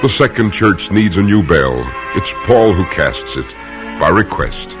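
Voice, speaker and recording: An actor as a solemn, measured storyteller of American history in dramatic old-time radio narration. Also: male voice, sits low, expressive. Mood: stately, dramatic. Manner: measured, solemn, poetic phrasing. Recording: vintage radio recording. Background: music.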